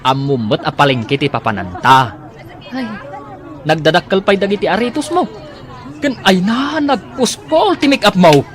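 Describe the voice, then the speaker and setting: A man's voice: high-pitched, sing-song, normal speed, a bit bright, a faint crowd. An actor with an Ilocano accent playing a nagging, disapproving man of the household in a comedy radio drama, full of scolding questions. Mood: nagging, impatient.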